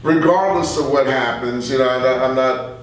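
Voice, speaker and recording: An older man reflecting in a casual interview as a veteran radio guest, animated and repeating phrases for emphasis. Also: mid-range voice, moderate pace, distant mic. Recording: very echoey room, off-mic, faint hum.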